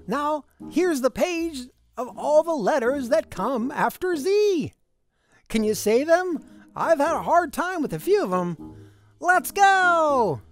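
A woman reading a rhyming picture book aloud to children in an expressive, sing-song storytelling manner. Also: high voice, takes it slowly, soft background music.

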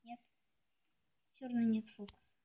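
A woman's voice: fairly high pitch, little pitch movement, unhurried, mellow. A woman video blogger chatting casually and ramblingly to herself and her viewers while showing things, chewing gum as she talks. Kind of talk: casual chatty nail polish show-and-tell.

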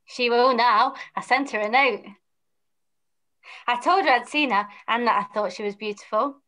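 A woman plays an eager young pantomime boy with a high, bright voice. He is lovestruck and earnest, delivered plainly as in a read-through. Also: normal speed. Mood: eager, smitten. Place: small room, on a video call.

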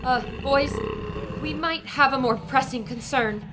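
Female, plucky on-the-scene reporter for pulp radio drama acted, high, animated voice, heavy background noise. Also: unhurried. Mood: wary. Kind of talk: old-time pulp radio drama acting. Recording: very noisy sound-effect ambience.

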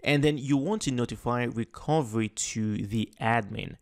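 A man narrating a screen-recorded tutorial in an easygoing, explanatory way. His voice sits in a middle pitch, and he stumbles and restarts now and then as he walks through each setting. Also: normal speed. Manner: casual, explanatory, with frequent fillers and restarts. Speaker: tutorial host. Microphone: voice-over on a screen recording.

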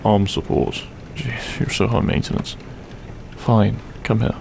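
Gravelly, grumpy-soft half-dragon roommate, played by a man. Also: lowish voice, flat delivery, measured pace, noisy background. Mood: tender, sleepy. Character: grumpy but tender young male half-dragon roommate. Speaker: roleplay voice actor. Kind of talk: intimate ASMR audio roleplay, comfort scene.

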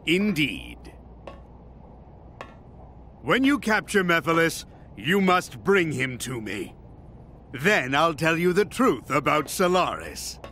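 A grandiose, menacing mad-scientist villain from a video game. He gloats in a bright, high voice that swoops theatrically, drawing out his words slowly. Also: very animated, a little hiss or hum, heavily acted. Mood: sly. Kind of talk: video game cutscene voice acting.

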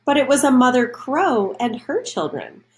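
A woman's voice: sits high, sing-song, normal speed. A woman reading a children's storybook aloud, adding explanations and questions for young listeners.

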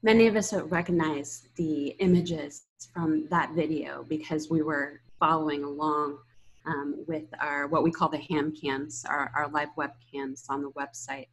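A woman's voice: low voice, steady tone, measured pace, rough recording. A woman in her thirties moderating an online public briefing, speaking from an indoor room on a video call. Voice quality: husky, creaky.

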